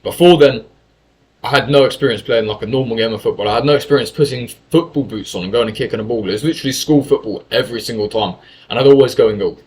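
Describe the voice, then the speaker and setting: A man's voice: medium pitch, fast talker, darkish tone, low-quality recording. A young man from England telling a story in a casual monologue, talkative and full of swearing and self-corrections. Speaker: YouTuber.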